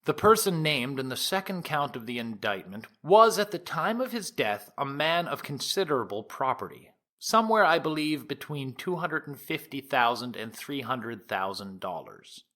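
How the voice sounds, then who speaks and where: An actor playing an earnest, grave prosecutor in a courtroom audio drama, addressing the jury in emphatic, deliberate, formal oratory. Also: male voice, mid-range voice, sing-song, a bit bright. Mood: measured, explanatory.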